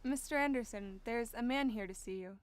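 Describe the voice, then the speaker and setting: An actress playing a polite, matter-of-fact office secretary in a radio drama. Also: female voice, fairly high pitch, fairly quick, dry recording, close to an everyday voice. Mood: polite, brisk.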